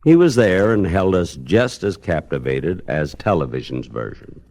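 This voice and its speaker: A man's voice: low-pitched, expressive, normal speed, a little mellow, no room sound. A man giving scripted, nostalgic narration in a wry, conversational way, as on a radio retrospective record.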